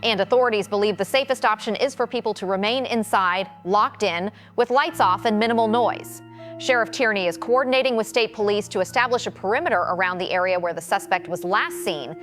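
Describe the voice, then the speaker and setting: A woman playing a serious, composed news reporter in a radio drama, delivering a measured, formal report. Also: mid-range voice, expressive, fairly bright tone, faint music behind, lightly acted.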